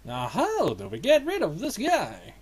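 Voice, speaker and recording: A man's voice: sits high, huge pitch swings, measured pace, husky. A Finnish man in his thirties with an American accent, casually chatting and muttering while he plays a game on stream. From Finland. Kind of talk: casual let's play commentary.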